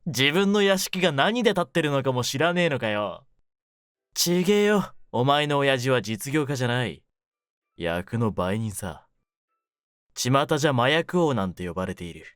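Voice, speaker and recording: A man playing a menacing young mafia kidnapper in an intimate voice drama, speaking low with rough, smug, teasing words. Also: sing-song, normal speed, no echo, studio quality. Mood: scornful, cool. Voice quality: low-pitched, hushed at menacing moments. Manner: rough masculine speech, smug mock politeness. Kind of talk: ASMR situation voice drama, intimate one-sided roleplay.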